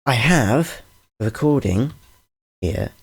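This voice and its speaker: A man's voice: medium pitch, expressive, slow pace, creaky, slightly rough. A man giving a casual, rambling tutorial over a screen recording, often correcting himself with a wry tone, recorded on a studio condenser mic. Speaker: channel host explaining audio gear. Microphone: large-diaphragm studio condenser.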